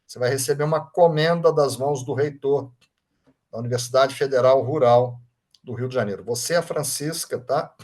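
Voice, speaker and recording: A man's voice: mid-range voice, moderate pace. A man with a Brazilian Portuguese accent, a Reiki master leading an online study group, reading out chat comments and thanking people by name in an informal way. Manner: warm, informal, often adds tag questions like "right?".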